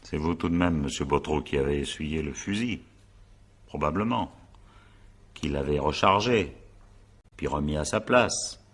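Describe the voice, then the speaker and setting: A stern examining magistrate in a radio drama. His voice is extremely low, forceful and raspy. He probes methodically, leaving weighty pauses. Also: exaggerated voice. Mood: insistent, accusing. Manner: probing, insistent, weighty pauses. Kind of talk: French radio drama acting.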